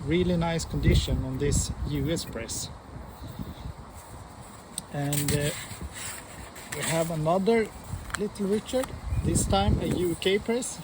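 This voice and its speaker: A man casually presenting to viewers as a record collector host, in a halting, unhurried way. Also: a little high, noisy background. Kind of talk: casual showcase vlog talk.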